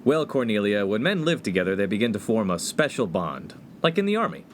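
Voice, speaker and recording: A male actor plays an awkward 1950s college freshman, earnest and innocent. His slightly low voice swings widely as he gets flustered. It is comic radio-play acting with faint noise behind. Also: very animated, fairly quick.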